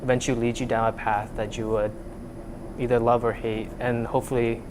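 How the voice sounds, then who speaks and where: A man's voice: medium pitch, flat delivery, a little fast, noisy background. Young guy from vietnam, student in a lab, lots of uhs and likes, ac humming in the back. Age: young adult. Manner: many fillers and restarts, earnest. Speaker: student researcher. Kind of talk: casual interview. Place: lab room.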